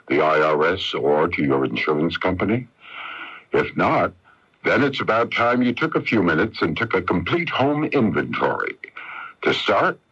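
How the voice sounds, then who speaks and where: A man with a husky voice reading a scripted radio consumer tip as an announcer. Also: low-pitched, a bit bright. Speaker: public service announcer. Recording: dull 1980s radio broadcast.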